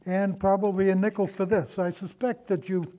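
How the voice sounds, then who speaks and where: A man's voice: high voice, flat delivery, moderate pace, warm tone. An older man in casual, reminiscing conversation, hesitant and prone to wandering off on digressions. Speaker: guest, amateur historian and old time radio podcaster.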